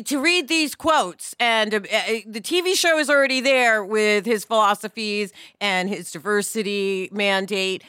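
A woman talking casually as a podcast host, animated and conversational, often checking that others agree. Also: a little high, no room sound, clean studio sound.

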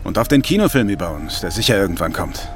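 A man's voice: low-pitched, sing-song, quick pace, soft background music. An actor playing a cheeky, chatty male astronaut in a Mars crew in a sci-fi audio drama. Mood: teasing.

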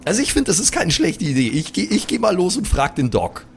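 A man's voice: high-pitched, wildly swooping pitch, fairly quick, a little hiss or hum. A man chatting casually as a player in an improvised tabletop game. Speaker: player in tabletop audio play.

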